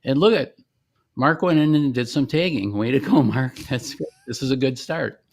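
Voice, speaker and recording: A man giving an informal screen-share demonstration as the presenter of a software product. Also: medium pitch, normal speed, gravelly.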